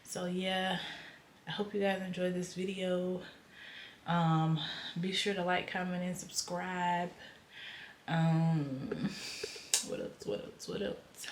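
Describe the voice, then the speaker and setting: A woman's voice: fairly low pitch, takes it slowly, long pauses, roomy sound, a little hiss or hum. A young woman vlogging at home, talking casually and rambling with many ums and repeats, her voice stuffy and nasal. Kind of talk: casual vlog chat. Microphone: camera on a stand.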